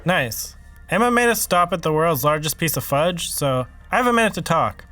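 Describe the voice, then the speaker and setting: An earnest young man about to propose in a podcast sitcom. His voice is notably high, forward and bright, with very animated intonation. He is sappy and sincere. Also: normal speed, faint music behind. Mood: upbeat. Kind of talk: sitcom audio drama acting.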